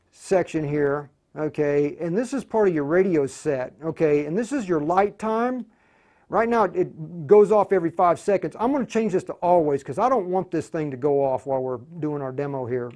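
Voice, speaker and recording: A man hosting an unscripted tech tutorial, informal and halting, often muttering to himself as he works through the steps. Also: a little high, animated, dark tone. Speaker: tutorial host demonstrating radio programming. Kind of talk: unscripted livestream tutorial, thinking aloud.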